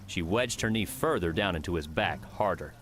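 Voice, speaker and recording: Low-voiced man narrating an audiobook. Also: lively intonation, moderate pace, fairly bright tone, slight background noise. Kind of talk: scripted fiction narration.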